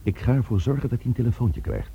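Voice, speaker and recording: A man's voice: mid-range voice, very animated, quick pace, vocal fry, slightly rough, phone-quality sound, exaggerated voice. An actor playing a stern, authoritative man taking charge of a crisis in a radio drama, grave and emphatic. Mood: grave, determined.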